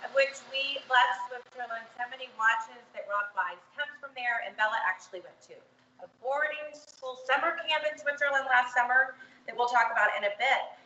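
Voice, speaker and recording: Lady interviewer, really gushy and chatty, bright sharp voice, echoey room. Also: sits high, moderate pace. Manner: effusive, enthusiastic. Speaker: interview show host. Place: home, indoors with windows open. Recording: echoey room, muffled, faint background noise.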